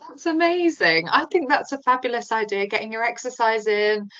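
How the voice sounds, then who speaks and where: A woman's voice: a little high, normal speed, a bit bright, dull audio. A woman hosting an interview, asking questions in an enthusiastic, encouraging way. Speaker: interviewer host.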